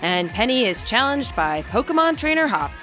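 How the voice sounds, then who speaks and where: A woman narrating a game playthrough as a story, expressive and informal. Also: a little high, normal speed, faint music behind. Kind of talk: storytelling narration with character voices.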